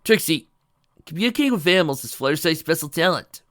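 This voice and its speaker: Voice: mid-range voice, expressive, fast talker, fairly bright tone, dry recording, clean studio sound, voice pushed up, natural voice. A reader voicing a cautious young male baby dragon who keeps nagging with worried warnings.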